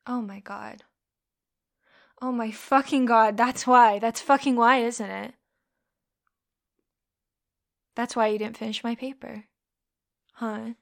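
A woman's voice: fairly high pitch, fairly even intonation, a little slow, vocal fry, long pauses. A young woman playing a scornful, bullying college woman who taunts and swears constantly. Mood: sneering. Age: young adult. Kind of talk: audio roleplay.

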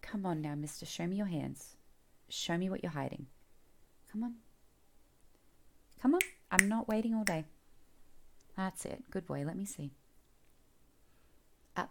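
A low, husky voice with a gravelly edge, a woman acting as a teasing, commanding mother in an audio roleplay. She coaxes softly and slips into whispers, heard up close on the mic. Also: full character voice. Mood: startled, scolding. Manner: soft, coaxing, often whispered.

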